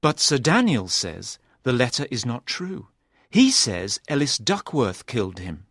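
A bold young hero's voice, pitched above the narrator's normal tone and swinging widely up and down as he questions and urges, as a male storyteller puts him on. It sounds dry and close. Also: big pitch swings, a little slow, a bit bright, dry recording, exaggerated voice. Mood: troubled.

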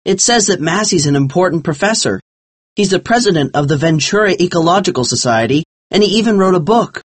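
Audiobook role: keen young man helping solve a mystery, acted by a man, husky voice, raised pitch, emphatic. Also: lively intonation, fairly quick. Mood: eager. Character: keen young man, helpful amateur sleuth. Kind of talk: acted dialogue in audiobook story.

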